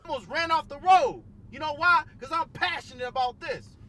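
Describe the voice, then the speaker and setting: A woman's voice: sits high, moderate pace, pushed and bright, a little hiss or hum. A woman voicing a cocky, trash-talking self-proclaimed wrestling champ who brags and taunts. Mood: taunting. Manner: boastful, swaggering trash talk.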